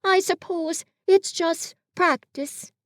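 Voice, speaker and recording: A woman voicing a humble, slow-witted male teddy bear in a storybook reading, speaking slowly and softly. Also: very high voice, fairly even intonation, dry recording, clean studio sound, pitched above the performer's own voice. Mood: wistful. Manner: slow, soft and gentle.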